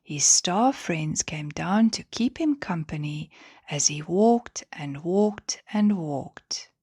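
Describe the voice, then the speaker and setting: Unhurried, expressive woman storyteller. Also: lowish voice, husky, dry recording, studio quality. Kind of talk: children's bedtime story narration.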